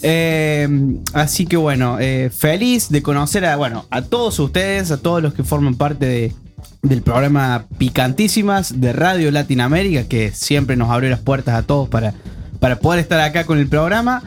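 A man's voice: fairly high pitch, expressive, normal speed, faint music behind. A man with a Córdoba Argentine accent chatting cheerfully as a radio co-host, rambling with fillers. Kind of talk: casual radio chat.

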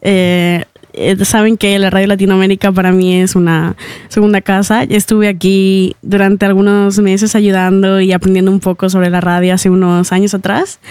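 Mujer joven cantante, voz clara y nítida. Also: female voice, mid-range voice, little pitch movement, normal speed, a little mellow, clean tone, dry recording. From Ecuador. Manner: cheerful, laughs often, many fillers. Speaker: singer, guest. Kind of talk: radio interview. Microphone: studio microphone.

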